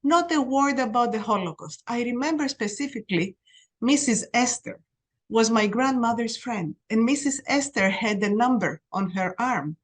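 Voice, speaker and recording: A woman, a podcast guest telling her life story in a reflective way, with pauses and restarts. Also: on the high side, lively intonation. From Greece. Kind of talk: personal storytelling.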